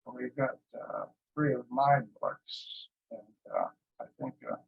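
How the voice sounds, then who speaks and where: A man talking casually and haltingly in a group discussion, with dull lo-fi sound. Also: medium pitch, creaky. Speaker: publisher and author in a community discussion.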